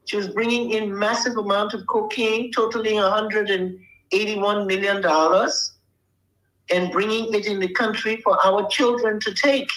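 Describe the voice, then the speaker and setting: A woman's voice: mid-range voice, fairly bright tone. Older woman, interview guest; forthright, insistent, roomy sound. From Liberia. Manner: blunt, emphatic, asks "you understand?". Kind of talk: casual interview talk.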